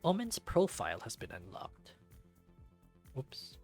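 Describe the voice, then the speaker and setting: A person reading a visual novel aloud and commenting casually, thinking out loud in a hesitant, reactive way. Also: medium pitch, wildly swooping pitch, takes it slowly, gravelly. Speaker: let's play narrator and commentator. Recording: background music behind the voice.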